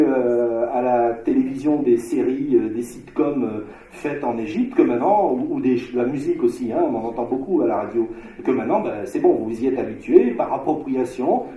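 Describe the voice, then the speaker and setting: A man from Marseille giving an academic conference lecture, discursive, with frequent filler pauses and restarts. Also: mid-range voice, dark tone, reverberant, rough recording. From Marseille, France. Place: lecture hall.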